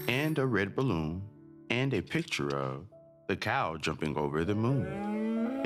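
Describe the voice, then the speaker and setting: A man reading a bedtime picture book to children in a sing-song way, pausing between short phrases. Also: on the low side. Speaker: children's read-aloud host. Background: music.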